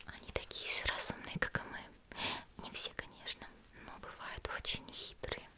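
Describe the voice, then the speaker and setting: A woman playing a petite, gentle and elegant female demon slayer in a whispered ASMR roleplay, soft, reassuring and unhurried. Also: lightly acted. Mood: calm, confiding.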